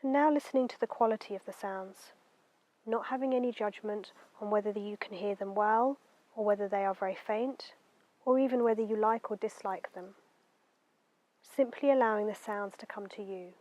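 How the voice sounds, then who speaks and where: A woman guiding a meditation in a gentle, unhurried, soothing way, letting her phrases end softly. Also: medium pitch, no echo. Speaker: meditation guide. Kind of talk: guided meditation narration.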